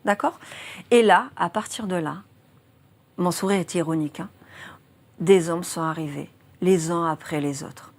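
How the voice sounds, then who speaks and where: A woman's voice: fairly low pitch, unhurried, pauses often. A woman in her twenties, a journalist being interviewed, who explains a criminal case earnestly, chooses her words with care and uses many fillers. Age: 20s. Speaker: journalist guest.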